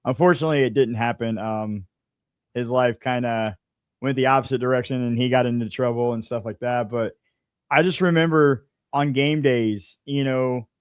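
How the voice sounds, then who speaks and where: A man's voice: mid-range voice, fairly even intonation, moderate pace, clear, resonant tone, no room sound. A man from a small American town hosting a casual sports interview, with folksy and enthusiastic reactions. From small town in the United States. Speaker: interviewer, show host.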